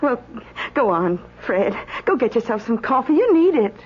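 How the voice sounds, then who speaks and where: High-pitched radio drama woman, earnest and hesitant, muffled noisy vintage recording. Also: sing-song, moderate pace, breathy edge. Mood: soothing, hesitant. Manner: hesitant, repeating words, pleading. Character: gentle, earnest woman keeping watch over a dead man.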